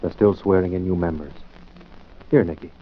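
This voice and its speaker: An actor playing a brisk, confident male detective in 1940s radio drama style. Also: low voice, steady tone, lightly acted. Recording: dull, faintly noisy 1940s radio recording.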